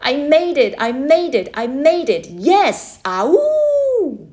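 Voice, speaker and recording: Storybook kid role: nervous, excitable young boy, high and loud, performed by a woman. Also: slow pace, heavily acted. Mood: thrilled, triumphant. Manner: exclamatory when thrilled, pleading when scared. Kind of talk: children's storybook read-aloud.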